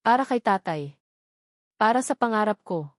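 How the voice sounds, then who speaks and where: A woman's voice: medium pitch, lively intonation, normal speed, no room sound, clean studio sound, close to an everyday voice. A woman voicing a frightened, desperate young woman who pleads, in an audio drama story. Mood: desperate resolve.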